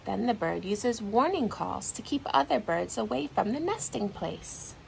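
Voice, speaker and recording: A woman reading a children's storybook aloud like a teacher, in an expressive, deliberate storytelling manner. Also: medium pitch, creaky, noisy background.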